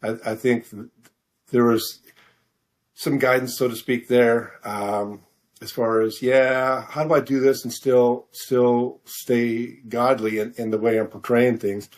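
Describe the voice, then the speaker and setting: An older man answering interview questions as a guest author, speaking in a hesitant, halting, rambling way. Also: mid-range voice, flat delivery. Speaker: novelist guest being interviewed.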